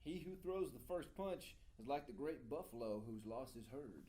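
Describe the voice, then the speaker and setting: A man's voice: medium pitch, animated, moderate pace, mellow, natural voice. A man playing a crude, goofy, easygoing DJ in a comedy table read. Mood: mock-wise.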